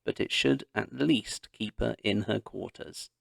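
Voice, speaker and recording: Storybook mother, acted by a male reader: caring, gentle, explanatory, dry close recording. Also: on the low side, a little slow, vocal fry, no echo, full character voice. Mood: wry, caring. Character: caring, calm mother of a schoolgirl.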